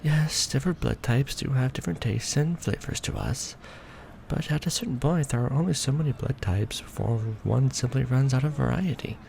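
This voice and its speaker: A man playing a centuries-old vampire lord in a romantic ASMR roleplay, proud and doting, speaking formally with theatrical, measured phrasing. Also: mid-range voice, gravelly, darkish tone, slight background noise, natural voice. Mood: musing, refined.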